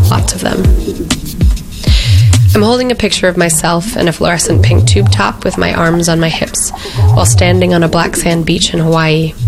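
A woman's voice: fairly low pitch, vocal fry. A woman reading an intimate, reflective poetic narration. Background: music.

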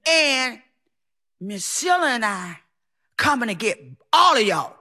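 A woman's voice: a little high, very animated, takes it slowly, sharp tone. A woman telling a personal story in a theatrical, emphatic, folksy way, acting out what people said. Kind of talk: live personal storytelling on stage.

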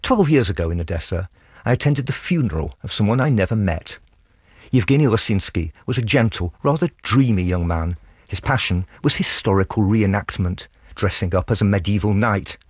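A man working as a foreign correspondent, reading a scripted first-person radio dispatch in a measured, literary storytelling manner. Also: lowish voice, expressive, slightly rough, dry recording, clean studio sound.

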